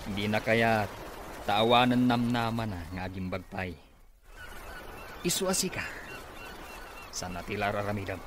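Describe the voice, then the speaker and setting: A man's voice: lowish voice, a little slow, pauses often, close to an everyday voice. A man with an Ilocano accent playing an impatient schemer in a radio comedy drama. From Philippines. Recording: clearly noisy background ambience.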